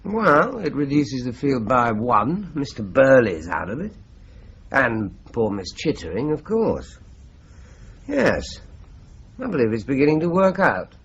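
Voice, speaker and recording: A shrewd lively police inspector. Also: male voice, medium pitch, a little slow, slight background noise. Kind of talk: 1970s radio drama acting. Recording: slightly dull broadcast recording.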